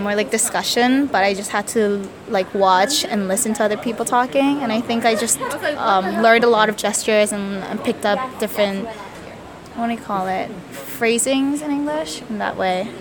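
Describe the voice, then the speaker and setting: Hesitant young woman, crowd behind. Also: fairly high pitch. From Korea. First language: Korean. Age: young adult. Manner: hesitant, many fillers and self-corrections. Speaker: interviewee, student. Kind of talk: casual interview answers.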